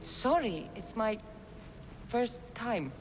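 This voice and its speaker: An actress playing a bold, guarded mercenary woman in a video game cutscene, speaking in a terse, deadpan way. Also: fairly high pitch, very slow. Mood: guarded curiosity. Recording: clearly noisy game ambience.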